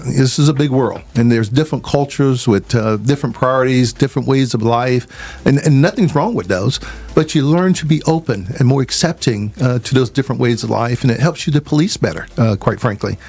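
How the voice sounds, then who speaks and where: A man, answering radio interview questions as a sheriff in a measured, folksy way with many pauses. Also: medium pitch, darkish tone. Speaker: sheriff guest.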